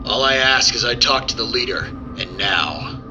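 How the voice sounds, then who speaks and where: A man's voice: medium pitch, expressive, normal speed, over music, close to an everyday voice, poor audio. A young man playing a commanding, calculating mastermind in an old-time radio drama. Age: young adult. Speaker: student actor. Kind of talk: 1940s radio drama acting.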